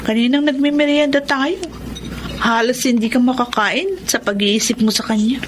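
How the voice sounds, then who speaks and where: An actress playing a concerned, probing confidante in a radio drama. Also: female voice, fairly high pitch, moderate pace, noisy background, close to an everyday voice. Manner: inquisitive, concerned. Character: probing female confidante questioning her friend. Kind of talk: Tagalog radio drama acting.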